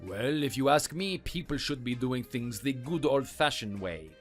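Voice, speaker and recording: A scornful French mechanic, voiced by a man, mocks in a low, subdued voice with a contemptuous drawl. His pitch still rises and falls widely as he belittles. Soft music plays faintly underneath. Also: lively intonation, normal speed, lightly acted. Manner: taunting, contemptuous.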